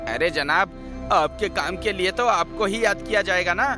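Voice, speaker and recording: A man playing an exasperated book publisher, wry and businesslike, in a conversational animated story style. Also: high voice, moderate pace, over music, close to an everyday voice. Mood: businesslike banter.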